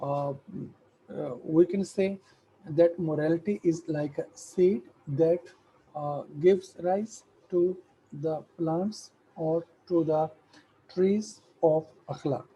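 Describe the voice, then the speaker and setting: A middle-aged man, an Urdu speaker, giving an academic lecture in a slow, measured way with hesitant fillers. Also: on the high side, mellow, slight background noise, rough recording.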